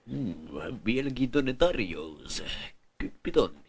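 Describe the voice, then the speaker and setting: A man voicing a bossy, foul-mouthed crook of a father in an amateur comedy cartoon dub, gruff and cajoling. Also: medium pitch, sing-song. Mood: sly. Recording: noisy home dub with clicks.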